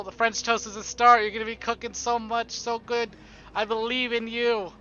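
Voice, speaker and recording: Bright, higher-voiced man chatting on a livestream. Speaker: streamer playing a visual novel. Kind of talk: livestream chat with viewers. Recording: dull audio with faint noise.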